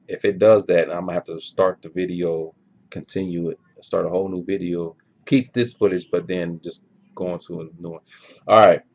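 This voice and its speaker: Low-voiced man, easygoing chat. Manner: easygoing, thinks aloud, loose and informal. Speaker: language-learning channel host answering viewer questions. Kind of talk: casual unscripted chat. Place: filming himself on camera at home. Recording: dull lo-fi recording.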